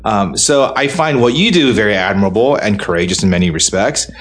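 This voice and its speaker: Man, forties, low voice, earnest, reflective, casual chat. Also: expressive, brisk, fairly bright tone. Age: 40s. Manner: earnest, reflective, laughs often.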